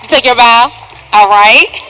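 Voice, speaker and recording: A woman's voice: a little high, unhurried, clean tone, rough recording. A woman hosting a stage show, projecting to the audience as she announces the cast. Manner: projected, hyping up the crowd. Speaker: stage host presenting the cast. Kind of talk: curtain-call announcing to an audience. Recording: live stage sound with loud music.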